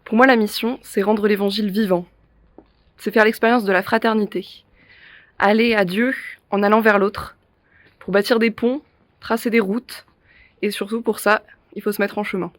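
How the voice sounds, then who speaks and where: A woman in her twenties, a teacher, introducing herself in a composed, clear way. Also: medium pitch, steady tone, moderate pace, long pauses, no room sound. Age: 20s. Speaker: French and Latin teacher. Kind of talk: prepared self-introduction.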